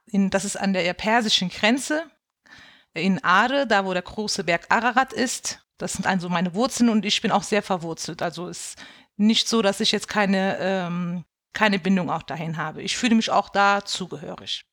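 A woman in her thirties from Germany, talking in a thoughtful, steady way with some fillers. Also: medium pitch, moderate pace. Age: 30s. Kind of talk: casual talk on a video call.

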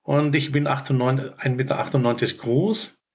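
A man's voice: medium pitch, steady tone. Man, 50s, hesitant guest on a video chat. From Morocco. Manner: hesitant, many pauses and fillers. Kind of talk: introducing himself on a video call.

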